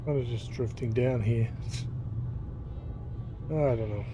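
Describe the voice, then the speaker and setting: A man's voice: medium pitch, slow pace, over music. A man doing casual live commentary as he plays a game, muttering to himself with mild frustration. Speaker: game streamer.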